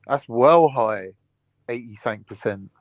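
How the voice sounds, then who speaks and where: A man's voice: medium pitch, normal speed, a loud crowd. Chatty man rambling on a panel. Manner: chatty, rambling, many "like"s and "you know what I mean". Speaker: podcast panelist. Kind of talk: casual debate chat.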